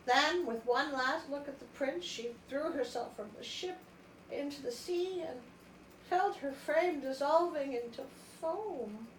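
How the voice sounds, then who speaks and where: A woman hosting a comedic storybook reading, theatrical and wry, with expressive asides. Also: high voice, unhurried. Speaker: storyteller, show host. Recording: roomy echo, faint background noise.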